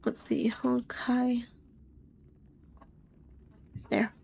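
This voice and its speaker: Young British woman, soft-voiced streamer, slightly high, unhurried, languid casual chat. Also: level tone, vocal fry, breathy edge. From Britain.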